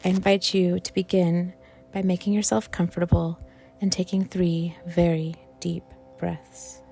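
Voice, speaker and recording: A woman leads a guided meditation in a fairly low, mellow voice with a noticeable creak. Her delivery is gentle and reflective, with space left between phrases, as a worship leader would give it. Soft music plays faintly behind her. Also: level tone, unhurried.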